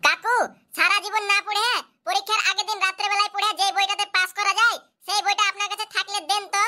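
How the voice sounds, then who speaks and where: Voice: very high-pitched, little pitch movement, fast, pushed and bright, heavily acted. A performer voicing a cheeky, pushy schoolboy customer in a comedy cartoon, with whiny, insistent delivery. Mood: pleading, cheeky. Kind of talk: comic cartoon dubbing.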